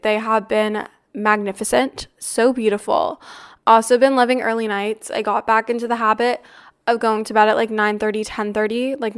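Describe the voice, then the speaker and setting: A woman in her twenties hosting a podcast in casual chat, a little congested and raspy from the flu, upbeat and chatty with lots of "like" and "really". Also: a little high. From Canada. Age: 20s. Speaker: podcast host.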